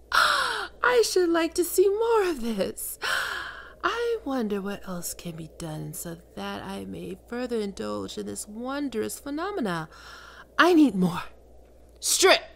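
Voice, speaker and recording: A woman voicing a seductive, domineering female demon queen of lust in an ASMR roleplay, speaking slowly and sultrily, often in a whisper. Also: a little high, big pitch swings, gravelly, fairly bright tone, heavily acted. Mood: commanding.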